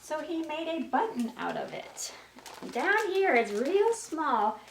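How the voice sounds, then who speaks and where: High female voice, very animated, sing-song children's story time, roomy distant recording. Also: slow. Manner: sing-song, prompting listeners with questions. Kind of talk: read-aloud story time for young children. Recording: roomy, distant mic with faint noise.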